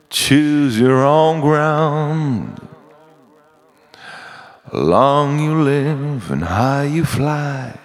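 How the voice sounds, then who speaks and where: Slow, expressive man reciting spoken-word poetry. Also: medium pitch. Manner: dramatic, deliberate phrasing with pauses. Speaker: poet.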